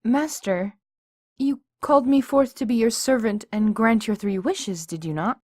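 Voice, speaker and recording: A woman's voice: a little high, a little slow, a little mellow, no echo, studio quality. A woman voicing a humble female djinni spirit, a servile mare who speaks formally and imploringly. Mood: earnest. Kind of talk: fan audiobook voice acting.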